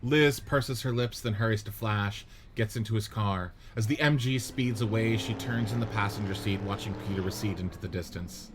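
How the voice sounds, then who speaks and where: Brief: male narrator, low-ish voice, plain steady reading of scene directions. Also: moderate pace, hardly any pauses, slight background noise. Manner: steady, matter-of-fact reading.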